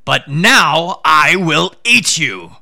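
A deceitful tiger begs for water and then turns menacing, voiced by a man telling a storybook tale. His speech is slow and deliberate. The voice stays bright with a lively lilt. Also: fairly high pitch, vocal fry, full character voice. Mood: pleading. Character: hungry deceitful male tiger.

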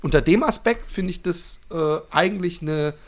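A man co-hosting a podcast, chatting casually and humorously, telling things like an animated storyteller. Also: on the high side, moderate pace. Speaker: podcast co-host.

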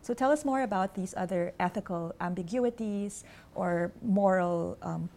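A woman hosting a scholarly interview, speaking in measured, academic phrasing. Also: mid-range voice, dark tone. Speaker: interviewer, host.

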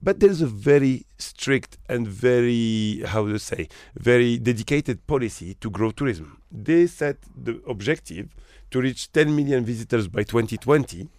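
Man, from Morocco, hotel manager, interview guest, lively swings in pitch, emphatic. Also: no echo, clean studio sound. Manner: animated, emphatic, rhetorical questions.

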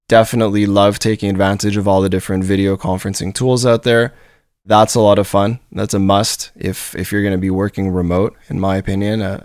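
A man with a nasal, fairly low voice hosts a solo podcast. He rambles in an upbeat way and doubles back on his words. The sound is smooth and dry, close on a podcast microphone. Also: darkish tone, no room sound. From Montreal, Canada. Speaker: podcast host and company leader. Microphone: studio podcast microphone.

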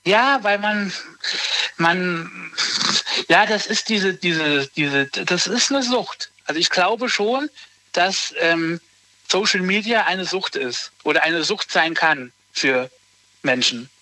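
A man in his thirties chatting casually as a guest, hesitant and halting, often restarting his sentences. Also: high-pitched, pushed and bright, rough recording. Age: 30s. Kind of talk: casual conversation.

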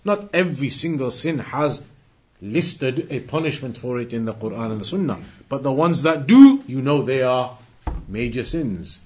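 A man teaching an Islamic studies class, explaining deliberately and repeating key phrases for emphasis as he moves through recited quotes. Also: mid-range voice, animated, a little mellow, breathy edge. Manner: didactic, deliberate, emphatic repetition. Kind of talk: religious lesson, explaining and translating recited quotes.

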